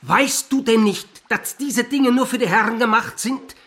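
An actor playing a practical, gruff peasant father who scolds and mocks in a radio drama. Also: very high-pitched, normal speed, fairly bright tone. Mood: scornful, scolding.

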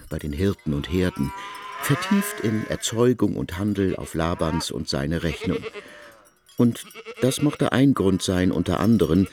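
Expressive male literary narrator. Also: sits low, background noise. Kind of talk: literary radio reading.

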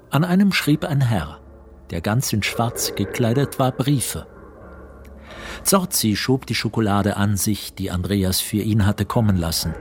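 A man narrating a literary radio drama in a measured, storytelling manner with a mid-range voice. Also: fairly low pitch, a little hiss or hum.

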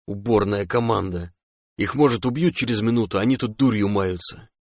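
A man voicing a gruff, bossy, hot-tempered male stalker in an audiobook story, barking and cursing in folksy phrases. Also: lowish voice, normal speed, no room sound, clean studio sound. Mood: irritated. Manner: barking, colourful mock curses.

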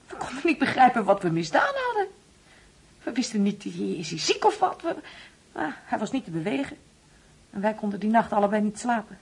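A woman's voice: on the high side, slightly rough, heavily acted. An actress playing a grieving, wounded middle-aged mother in a Dutch radio play, pleading and emotional.

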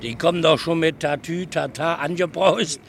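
An actor playing a chatty but cautious bar regular in a German radio play, colloquial, wry and gossipy. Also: male voice, on the high side, fairly even intonation, normal speed, slight background noise, lightly acted. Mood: amused, wry.

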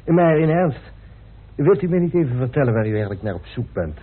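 An actor playing a courteous, grave gentleman telling of a family mystery in a radio play. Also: medium pitch, big pitch swings, a little fast, slight background noise, close to an everyday voice. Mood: polite, curious. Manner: polite, solemn, storytelling. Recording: muffled, band-limited old radio recording.

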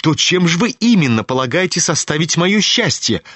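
A man voicing a proud, sharp-tongued young noblewoman in a storybook reading, mocking and imperious. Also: fairly high pitch, very animated, normal speed, dry recording, voice pushed up.

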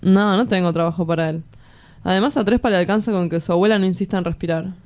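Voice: mid-range voice, fast talker, darkish tone, natural voice. An actor with an Argentine accent playing a cocky, romantic kidnapper in an audio drama, teasing and breezy. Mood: breezy scheming. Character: cocky young male kidnapper, sly romantic schemer. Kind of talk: dramatic dialogue acting.

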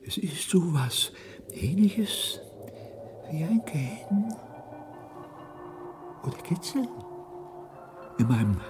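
A woman playing an innocent, bewildered newborn whale in a radio drama, curious and halting as it searches for words. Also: low-pitched, sing-song, over music, full character voice. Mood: curious, searching.

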